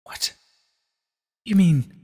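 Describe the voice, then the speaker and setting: A man's voice: extremely slow, darkish tone, in a large hall. A man voicing an anxious, earnest young female pony princess in a story reading, urgent and questioning. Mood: hesitant, alarmed. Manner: urgent, questioning, stammering at times. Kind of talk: dramatic reading of a short story.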